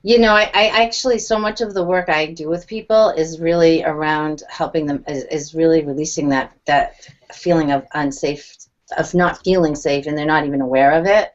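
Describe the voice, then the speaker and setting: A woman's voice: low voice, fairly even intonation, moderate pace. A woman speaking earnestly on a video call panel, often restarting her sentences as she searches for words. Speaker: guest speaker on EFT tapping. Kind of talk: casual online panel chat. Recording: dull lo-fi video call audio.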